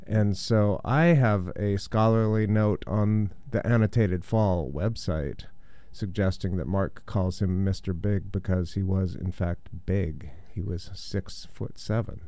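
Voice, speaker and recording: A man hosting a radio show and chatting casually between records, his voice deep and congested from a cold, rambling a little with fillers. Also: slow, few pauses, dark tone, no echo. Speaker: radio DJ host.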